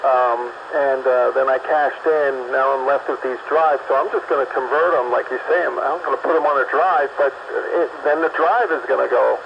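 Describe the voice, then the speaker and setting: A man's voice: mid-range voice, normal speed, gravelly, few pauses. A man calling in to a casual radio chat, rambling and hesitant with many restarts. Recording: dull lo-fi line with background noise.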